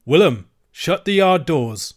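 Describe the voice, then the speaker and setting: A man doing a sneering rough horse dealer. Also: high voice, very animated, normal speed, voice pushed up. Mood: scornful. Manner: scornful, bullying rough dialect.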